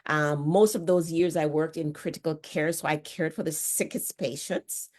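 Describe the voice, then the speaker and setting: A woman's voice: sits low, fairly even intonation, normal speed, darkish tone. A middle-aged woman from Jamaica with a Jamaican accent, a nurse and author chatting as a guest, repeating words as she talks. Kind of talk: casual conversational talk.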